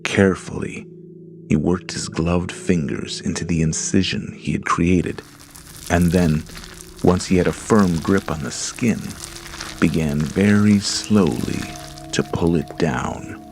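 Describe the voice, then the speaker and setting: A man with a very deep, gravelly voice reads a horror tale at a slow, deliberate pace. His measured, ominous delivery sits over a faint bed of creepy music. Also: darkish tone. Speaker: narrator. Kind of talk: scripted horror narration.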